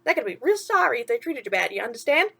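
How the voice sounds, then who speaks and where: A woman's voice: on the high side, big pitch swings, racing, creaky, sharp tone, slightly rough, exaggerated voice. A woman voicing a brash little male mouse from a children's storybook, bossy but encouraging, with slangy, punchy delivery. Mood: rousing, bossy. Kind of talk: children's storybook character reading.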